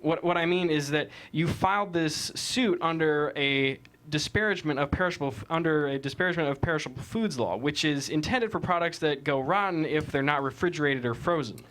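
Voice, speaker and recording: A man's voice: a little high, brisk, hardly any pauses, a bit bright, close to an everyday voice. A man playing a probing, argumentative defense lawyer with methodical questioning in a courtroom drama table read.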